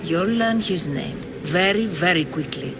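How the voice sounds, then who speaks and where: Effusive cult zealot, swooping pitch. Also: female voice, lively intonation, unhurried, background noise. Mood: welcoming, fervent. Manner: gushing, theatrical. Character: effusive, zealous female member of a secret cult. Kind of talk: video game voice acting.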